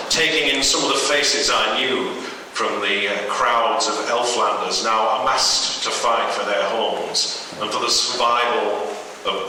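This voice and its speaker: A man's voice: mid-range voice, sharp tone. A man reading a horror story aloud dramatically as a radio host, in an echoey, noisy recording at a distance from the microphone.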